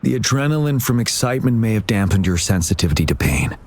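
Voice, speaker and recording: A calm game commander, with a low, husky voice. He speaks formally and attentively, in measured phrases. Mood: calm, analytical. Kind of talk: video game voice acting.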